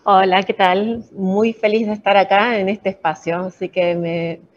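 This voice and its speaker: A woman from Argentina with an Argentine accent, speaking as a chief science officer in a casual interview, measured and explanatory with fillers. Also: mid-range voice, fairly even intonation, lo-fi sound.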